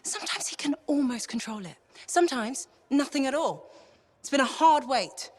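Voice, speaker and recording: A woman's voice: high voice, animated, moderate pace. An actress playing a haunted, wistful woman speaking earnestly, in a game cutscene. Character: haunted woman who once heard a mystic song, wistful. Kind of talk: video game cutscene acting.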